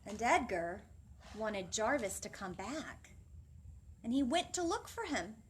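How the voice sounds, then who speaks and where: A woman hosting a children's storytime, expressive and sing-song, speaking directly to young listeners. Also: fairly high pitch, unhurried, slight background noise. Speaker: children's librarian, storytime host. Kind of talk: animated picture-book reading and instruction for young children. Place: library room, seated indoors.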